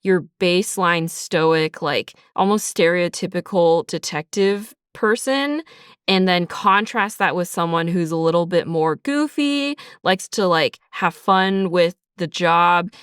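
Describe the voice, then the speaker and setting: Chatty woman with a clear, lowish voice. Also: measured pace, a bit bright, dry recording, studio quality. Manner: chatty, informal, frequent "like" and "sort of". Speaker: audio drama writer being interviewed. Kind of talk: casual behind-the-scenes interview.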